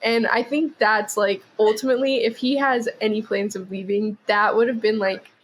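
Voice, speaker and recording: A woman's voice: medium pitch, fairly even intonation, moderate pace, slight background noise. A woman chatting casually as a podcast guest, rambling with lots of "like" and "um". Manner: rambling, heavy use of "like" and "um". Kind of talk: casual chat.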